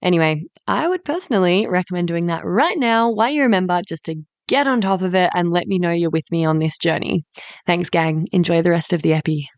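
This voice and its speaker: A woman hosting a podcast, speaking straight to her listeners in a brisk, chummy way. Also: fairly low pitch, dry recording. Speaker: podcast host. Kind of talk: direct address to listeners.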